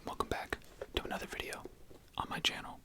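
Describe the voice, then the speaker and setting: Male ASMR host, fully whispered delivery, gentle and unhurried. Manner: soft, unhurried whisper with casual phrasing. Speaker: host of an ASMR video channel.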